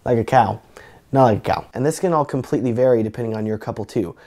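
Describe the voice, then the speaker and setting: A young man with a slightly raspy, cold-roughened voice, teaching color grading in a chatty, joking tutorial. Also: mid-range voice, fast talker, mellow. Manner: joking, chatty, self-deprecating. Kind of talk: casual tutorial talk.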